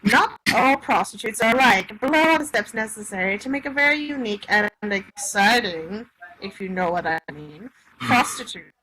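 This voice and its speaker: A woman's voice: medium pitch, animated, moderate pace, bright, forceful tone, rough recording. A woman casually reading a tabletop game rulebook aloud, stumbling here and there over the text. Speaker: reader of a tabletop game supplement. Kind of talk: casual read-aloud with joking asides. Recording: clear background noise.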